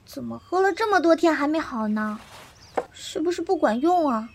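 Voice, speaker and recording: A woman's voice: sits high, expressive, moderate pace, a little hiss or hum. An actress playing a blunt, stubborn, protective young wild girl in a period wuxia audio drama, speaking in a curt, bossy way. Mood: worried. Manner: curt, brusque, bossy but caring.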